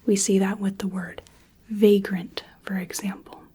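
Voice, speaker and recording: Woman, ASMR clinician roleplay, mellow breathy whisper, very unhurried. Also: mid-range voice, flat delivery. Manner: soft unvoiced whisper, gentle step-by-step instructions. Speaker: ASMR creator playing an examining clinician.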